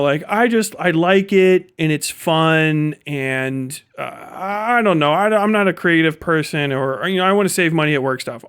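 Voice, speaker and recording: A man's voice: sits high, clear, resonant tone. A man giving casual, opinionated livestream commentary in a blunt, profane, conversational way. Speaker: streamer and commentator.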